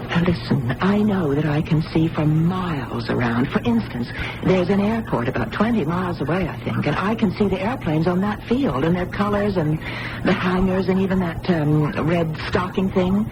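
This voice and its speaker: An earnest young blind woman played by an actress in an old radio play. She describes things carefully and sincerely, on a clearly noisy vintage broadcast recording. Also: on the low side, normal speed, natural voice. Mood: earnest, wondering. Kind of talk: radio drama acting.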